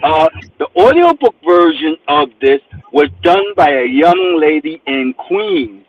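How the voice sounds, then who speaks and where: A man from New Jersey talks about his book as an interview guest. His voice is high and swings widely in pitch, and he acts out other people's questions. The sound is a bit dull, with faint noise behind. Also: lively intonation, a little slow. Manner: emphatic storyteller, acts out quotes. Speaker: guest author. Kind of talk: animated interview talk.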